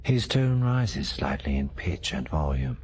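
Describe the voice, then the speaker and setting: A man's voice: rumbling low, sing-song, unhurried, darkish tone. A man with a synthetic voice reading short descriptive narration in an audiobook. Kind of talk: clipped present-tense descriptive narration. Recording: faint background noise.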